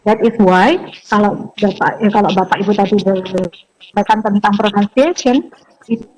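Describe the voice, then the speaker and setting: A woman's voice: medium pitch, steady tone, normal speed, darkish tone, a little hiss or hum, poor audio. A woman giving an informal training lecture as a university lecturer, conversational and mixing in English terms.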